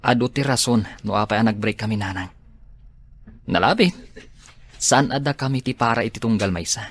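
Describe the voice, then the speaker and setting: A man's voice: lowish voice, measured pace, long pauses, a bit bright. A man with an Ilocano accent acting a protective, earnest friend in a radio drama, given to exasperated scolding. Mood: calm, earnest.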